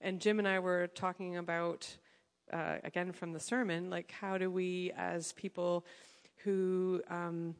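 A woman leading a sharing time in a church, speaking hesitantly and thoughtfully with many pauses. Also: fairly low pitch, level tone, smooth. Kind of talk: casual congregational talk. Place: church hall. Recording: echoey church hall.

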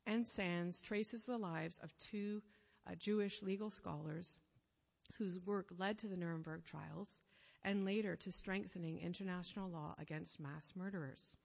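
A woman giving a sermon, telling a story in an earnest, measured way, pausing phrase by phrase. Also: fairly low pitch, fairly even intonation, creaky. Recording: long reverb of a hall.

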